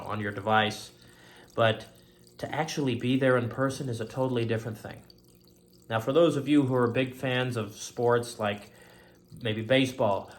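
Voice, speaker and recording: A man hosting a faith-themed talk show, speaking in an earnest, rambling solo monologue and often circling back to stock phrases. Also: medium pitch.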